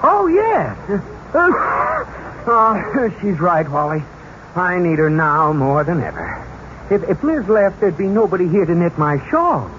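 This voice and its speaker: An actor playing a sarcastic, put-upon husband in 1940s radio comedy, with wry, exaggerated reactions. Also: male voice, high voice, very animated, measured pace. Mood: mock feeble. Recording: muffled, hissy old radio recording.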